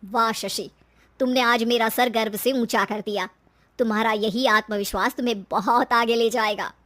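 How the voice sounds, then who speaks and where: A woman's voice: on the high side, steady tone, normal speed, a bit bright, close to an everyday voice. A confident older schoolmistress, played by a woman narrator. She speaks emphatically and encouragingly, as a kindly cartoon-story mentor. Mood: proud.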